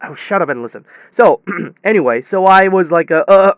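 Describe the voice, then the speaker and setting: A man with a high, smooth voice rambles excitedly through a casual story, as if chatting on the phone. He stumbles and repeats himself as he goes. The sound is dry, close and a little dull. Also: normal speed, no echo. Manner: rambling, excitable, hesitant repetitions. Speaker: air conditioning technician character telling a story. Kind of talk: acted one-sided phone call, casual storytelling.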